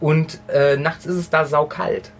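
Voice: mid-range voice, steady tone, measured pace, a little hiss or hum. A podcast co-host trading dry, matter-of-fact quips in a casual comedy chat.

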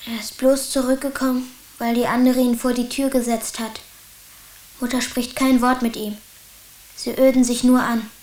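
A young schoolboy, acted by a woman in a radio play, with a high voice and colloquial, childlike directness. He can be sulky or eager, and the sound is somewhat roomy. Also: flat delivery, normal speed, darkish tone, close to an everyday voice. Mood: glum, confiding. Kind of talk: German radio play acting.

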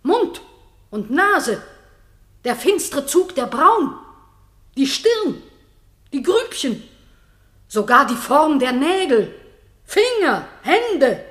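A woman's voice: high voice, big pitch swings, very slow, pauses often, reverberant, heavily acted. An actress playing a bold, righteous, outspoken noblewoman in a classic German radio drama, declaiming with defiance. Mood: pleading, insistent.

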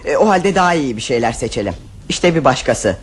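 A man's voice: medium pitch, big pitch swings, brisk, slight background noise, close to an everyday voice. An actor in a radio play voicing an impulsive, clumsy young boy who is eager to propose ideas.

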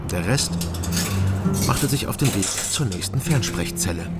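A laconic East German ex-cop working as a detective in a radio crime play. His voice sits low and he speaks in short, understated phrases. Music plays clearly behind him. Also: expressive, a little slow. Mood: dry, brooding. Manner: terse, understated, matter-of-fact. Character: laconic East German ex-policeman turned illegal private detective. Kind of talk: radio crime drama, first-person narration and dialogue.

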